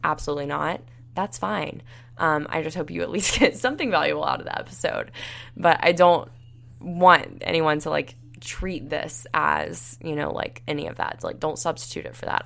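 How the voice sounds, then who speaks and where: A young woman hosting a solo podcast, talking casually with lots of fillers, her voice raspy and tired. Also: low voice, fairly quick, fairly bright tone. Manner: rambling, many fillers like "like" and "you know". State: tired and dehydrated. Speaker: podcast host.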